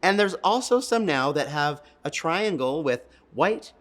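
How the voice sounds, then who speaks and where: A storytime co-host reading and explaining to young children in an expressive way, stretching words for emphasis and speaking directly to the listeners. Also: mid-range voice, a little slow.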